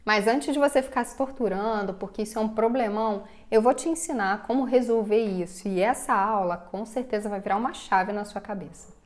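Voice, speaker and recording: A woman with a Brazilian Portuguese accent giving a direct-to-camera personal finance lesson, talking straight to the viewer and acting out the viewer's doubts. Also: mid-range voice. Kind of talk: direct-to-camera scripted explainer.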